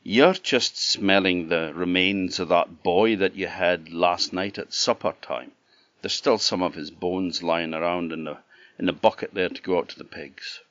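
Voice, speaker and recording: Giant's wife voiced by a man, soft-hearted, fussing, warning, storybook tale, dry dull sound. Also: sits low, unhurried, dry recording. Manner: fussing, cautionary.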